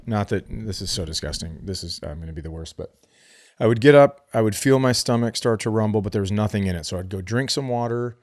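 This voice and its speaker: A man co-hosting a podcast, telling gross stories in a lively, joking way while getting over being sick. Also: lowish voice, dry recording, clean studio sound. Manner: animated, graphic storytelling with playful teasing. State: recovering from illness, sore ribs. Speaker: podcast co-host. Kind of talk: casual comedic chat.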